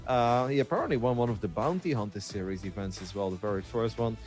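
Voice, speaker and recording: A man hosting a poker broadcast, briskly introducing players and handing off to his co-host. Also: on the low side, a little mellow, soft background music.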